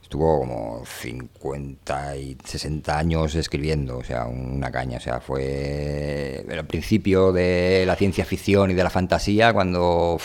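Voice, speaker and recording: A man's voice: rumbling low, steady tone, measured pace, few pauses, darkish tone. A man with an accent from Spain hosting a radio show live, talking informally in a colloquial, rambling way. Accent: Spanish from Spain.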